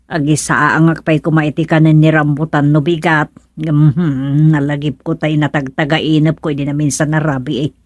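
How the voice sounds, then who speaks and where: A woman's voice: sits low, little pitch movement, moderate pace, no echo. A woman playing the nagging wife of an old villager in an Ilocano radio comedy.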